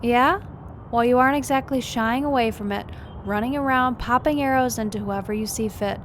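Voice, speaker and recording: Actress as a tough lady cop captain, highish voice, slow n sarcastic, audio drama w noisy city behind her. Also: natural voice. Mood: defiant. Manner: gruff, sardonic, confrontational. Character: tough, sarcastic, weary female police captain.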